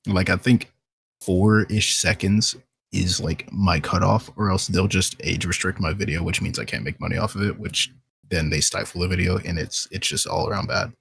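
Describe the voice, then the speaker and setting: Gravelly, very husky man. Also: low voice, fairly even intonation, normal speed, fairly bright tone. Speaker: voice actor on a panel. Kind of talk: casual podcast chat.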